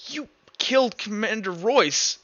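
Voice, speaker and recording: A man's voice: high-pitched, expressive, unhurried, muffled recording, a crowd in the background, voice pushed up. A man voicing a timid teenage boy with a spear who is distraught and accusing, stammering as he pleads in a tabletop game. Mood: angry, accusing.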